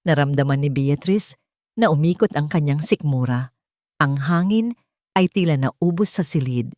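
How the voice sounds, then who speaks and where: Low-voiced woman narrating a dramatic story. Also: lively intonation, normal speed, a little mellow, no room sound, clean studio sound. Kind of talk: scripted dramatic romance narration.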